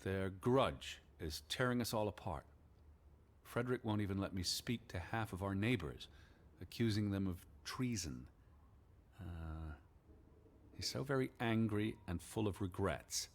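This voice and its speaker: An actor playing a weary, hesitant American naturalist of the 1920s in a video game, speaking in a halting, subdued way. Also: male voice, expressive, full character voice. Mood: hesitant, somber. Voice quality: low, husky.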